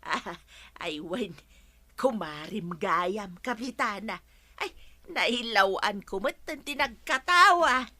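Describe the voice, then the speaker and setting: A woman's voice: mid-range voice, lively intonation, slow pace, sharp tone, dry recording, exaggerated voice. A woman acting a mocking, pushy village gossip in an Ilocano radio comedy drama, with an Ilocano accent. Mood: amused.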